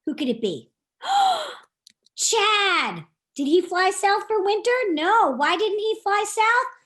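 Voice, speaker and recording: Female children's author reading her picture book: high-pitched, lively intonation, playful and direct with young listeners. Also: slow. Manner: expressive, playful, addresses young listeners with questions and asides. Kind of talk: animated storytime reading to children.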